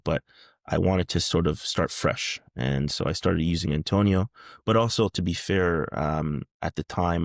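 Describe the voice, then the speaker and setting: A man's voice: sits low, creaky, dry recording, clean studio sound. A man in his thirties from Canada, speaking as a guest in a casual interview, hesitant with many fillers and restarts. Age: 30s.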